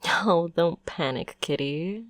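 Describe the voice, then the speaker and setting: A woman's voice: lowish voice, lively intonation, slow pace, dry recording, studio quality. A woman voicing a sweetly possessive witch in an audio roleplay, coaxing and condescending with endearments. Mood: soothing.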